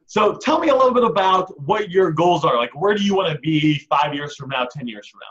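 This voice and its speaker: A man's voice: on the high side, expressive, fairly quick, fairly bright tone, highs cut off. A man hosting an interview, asking friendly, prompting questions in casual chat. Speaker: interview host.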